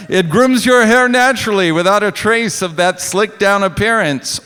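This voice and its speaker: Old timey radio announcer guy, slow emphatic sales pitch, big pitch swings, echoey stage. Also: a bit bright, clear, resonant tone. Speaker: radio announcer reading the sponsor spot. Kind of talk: 1940s radio announcer, scripted commercial. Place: on stage in a hall. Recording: reverberant hall with music.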